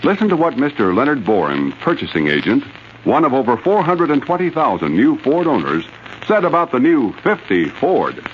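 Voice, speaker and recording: A man's voice: mid-range voice, huge pitch swings, normal speed. A man delivering a polished, emphatic 1950s radio advertisement as announcer. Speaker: commercial announcer. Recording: dull vintage radio recording, faint noise.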